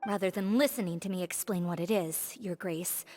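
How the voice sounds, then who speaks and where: A woman's voice: on the low side, moderate pace, darkish tone. An actress playing a frightened, earnest young woman in a video game, speaking carefully and deferentially. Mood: anxious, earnest. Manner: careful, formal, hesitant.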